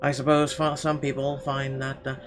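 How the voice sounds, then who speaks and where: A man's voice: medium pitch, completely flat delivery, normal speed. A man doing a let's play, reading visual novel narration aloud expressively with occasional stumbles. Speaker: let's player. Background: music.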